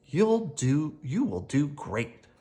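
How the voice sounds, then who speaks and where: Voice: mid-range voice, very animated, slow pace, dark tone, slight background noise, voice pushed up. A storyteller voicing cheery, caring hospital staff from a children's book in a sing-song manner. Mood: reassuring.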